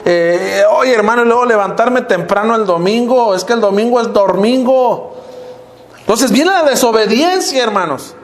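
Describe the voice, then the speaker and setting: An older man with a Mexican accent preaches a sermon, his voice sitting fairly high. He keeps addressing his listeners as brothers and lets his pitch sink at the close of each phrase. The sound carries the echo of a roomy church hall. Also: normal speed. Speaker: minister.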